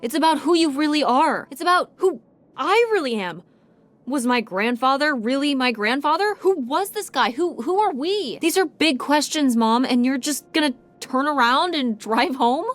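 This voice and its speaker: An actress voicing a curious, eager teenage daughter on a road trip in a video game, talking in quick, insistent bursts of questions. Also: female voice, high-pitched, expressive.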